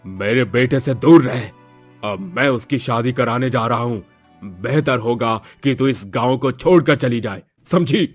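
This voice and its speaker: A man's voice: medium pitch, a little slow, breathy edge, soft background music. A man voicing a domineering, scornful older village headman and father in a moral-story cartoon, with a scolding, haughty manner. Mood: threatening.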